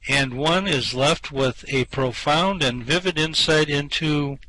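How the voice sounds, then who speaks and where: A man playing a nervous Earthman in an amateur radio play, stammering earnestly as he flatters. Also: on the high side, slow, exaggerated voice, rough recording. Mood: nervous, ingratiating. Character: nervous, floundering Earthman flattering his captor.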